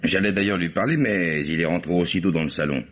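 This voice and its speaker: An actor playing a proper, guarded husband under police questioning in a radio mystery, formal and evasive. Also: male voice, deep voice, fast talker, fairly bright tone. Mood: defensive. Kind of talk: French radio mystery drama acting. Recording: dull, band-limited radio drama recording.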